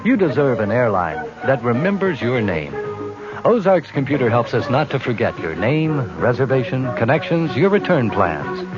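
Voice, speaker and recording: Very animated male radio ad announcer. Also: medium pitch, moderate pace. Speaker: commercial announcer. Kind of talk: 1970s radio ad read. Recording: dull 1970s radio broadcast. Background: music.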